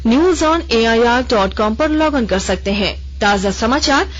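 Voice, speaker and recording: Woman newsreader, slightly high voice, reads the radio news formally, muffled lo-fi broadcast sound. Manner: measured, even formal delivery. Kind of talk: formal news bulletin reading. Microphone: studio microphone.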